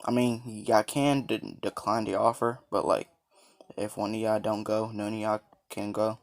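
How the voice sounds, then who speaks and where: A man with a raspy, just-woken-up voice telling a fan story in a casual, rambling way. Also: lowish voice, little pitch movement, moderate pace. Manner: rambling, laid-back, lots of fillers. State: just woke up, dry voice. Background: background music.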